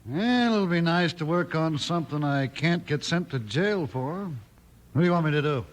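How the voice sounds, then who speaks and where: A man's voice: fairly high pitch, animated, normal speed, natural voice. An actor playing a sour, greedy, grumbling henchman of a swindler in a 1950s western radio drama, with a rustic, complaining manner. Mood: wary. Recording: faintly noisy old radio recording.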